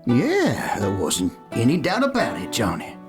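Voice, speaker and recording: A man's voice: medium pitch, huge pitch swings, unhurried. A man playing a folksy cowboy storyteller narrating a Western radio play. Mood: warmly folksy. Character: folksy cowboy storyteller telling a boy a tale. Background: guitar music.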